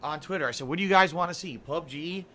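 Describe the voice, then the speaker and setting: A man's voice: fairly high pitch, fast, sharp tone. A middle-aged man streaming games live, rambling and complaining in a casual monologue full of fillers. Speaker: game streamer.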